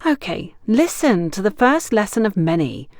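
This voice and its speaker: A woman's voice: mid-range voice, sing-song, moderate pace, no room sound, studio quality. A woman narrator voicing a frank, teasing female confidante who gives knowing advice in an audiobook. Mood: playful, instructive. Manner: playful, knowing, instructive. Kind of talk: audiobook character dialogue.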